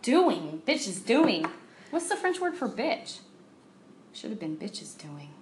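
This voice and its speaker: A woman's voice: a little high, very animated, unhurried, a little hiss or hum. A woman co-hosting a podcast in bubbly, playful, jokey casual chat. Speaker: podcast co-host.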